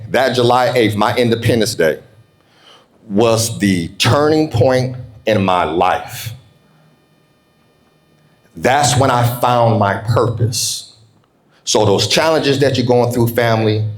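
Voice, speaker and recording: Emphatic man giving a motivational keynote. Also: on the low side. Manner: emphatic, slows down on key phrases; informal, folksy, calls on listeners for response. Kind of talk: motivational keynote speech to an audience.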